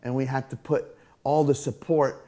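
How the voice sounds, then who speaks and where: A man's voice: mid-range voice, flat delivery, moderate pace, dark tone. A man from Syria chatting casually as an interview guest, talkative and jokey, often repeating his phrases. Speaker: guest, fair fried-food vendor. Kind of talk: casual interview chat, storytelling.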